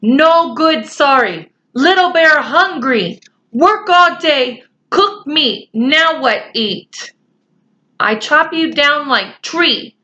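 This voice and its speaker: A woman voicing a tiny, fierce, proud warrior man who scolds in clipped, broken sentences, read slowly and loudly. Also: high voice, lively intonation, muffled recording, pitched above the performer's own voice, full character voice. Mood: angry.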